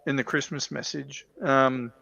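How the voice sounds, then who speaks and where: A man with a creaky, medium-pitched voice chats as co-host of a hobby livestream. He speaks casually and tentatively, working out his thoughts as he goes. The recording is dry and free of room echo. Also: fairly even intonation, measured pace, pushed and bright, highs cut off. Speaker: show co-host.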